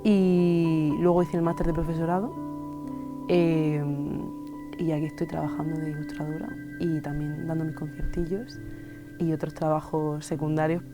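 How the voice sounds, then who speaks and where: A woman in her twenties from Spain, an illustrator and musician, talking thoughtfully in an interview with many pauses and fillers. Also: sits low, little pitch movement, music in the background. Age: 20s. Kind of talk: reflective interview monologue.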